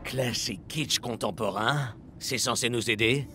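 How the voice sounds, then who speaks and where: A man playing a gruff cartoon associate who questions things dryly. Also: medium pitch, a little slow, music in the background, natural voice. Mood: sceptical. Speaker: voice actor in a children's animated series.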